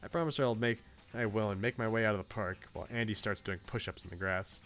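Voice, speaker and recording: A man streaming a game, reading a visual novel aloud with casual, animated, joking commentary. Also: on the low side, fast talker, faint music behind.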